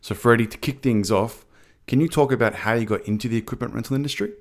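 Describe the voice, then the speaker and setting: A man hosting an industry podcast, introducing his guest and asking questions in a measured way. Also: medium pitch, vocal fry. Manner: measured, partly scripted introductions. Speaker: podcast host.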